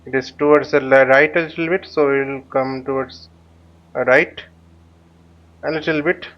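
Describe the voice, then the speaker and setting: A man teaching a flight simulator tutorial, speaking haltingly and often repeating words as he explains. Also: mid-range voice, fairly even intonation. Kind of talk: spoken tutorial while flying a simulator. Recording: dull lo-fi microphone sound.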